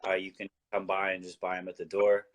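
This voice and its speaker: A man's voice: low-pitched, monotone, fairly quick. A man from Colombia, a guest in a casual talk-show discussion, explaining his views earnestly and often restarting his sentences.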